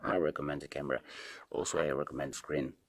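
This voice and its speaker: A man with a Spanish accent and Spanish as his first language, giving a casual, unscripted product review in a halting, hesitant way and repeating himself. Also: rumbling low, little pitch movement. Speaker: tech reviewer, video host.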